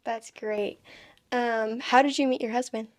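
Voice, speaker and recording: A woman's voice: a little high, fairly even intonation, a little slow. A woman hosting a casual podcast interview, upbeat and encouraging toward her guest. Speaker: podcast host.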